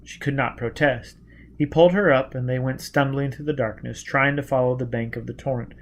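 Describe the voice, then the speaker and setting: A man reading an adventure story aloud as dramatic, deliberate, intense narration. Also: mid-range voice, fairly even intonation. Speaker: audiobook narrator.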